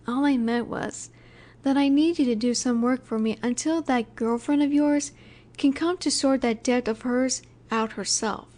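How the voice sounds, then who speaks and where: Soft, mellow, high-voiced female mafia boss acted by a woman. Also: close to an everyday voice. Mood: calm, reassuring. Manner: soft, unhurried, soothing yet in command. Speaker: audio roleplay voice actor. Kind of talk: intimate scripted audio roleplay, addressed to listener.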